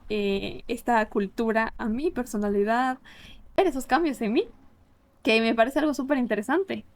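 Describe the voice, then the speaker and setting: Woman in her twenties, from Ecuador, interview guest, reflective, earnest, fairly high voice. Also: clean tone. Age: 20s. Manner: reflective, earnest, many restarts and fillers. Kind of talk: casual interview conversation.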